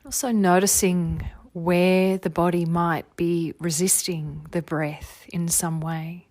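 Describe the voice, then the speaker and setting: A woman leading a guided meditation as a teacher, giving gentle, soothing body-scan instructions in an unhurried way. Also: lowish voice, flat delivery, dry recording. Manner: soothing, unhurried, gentle instruction with long phrases trailing softly.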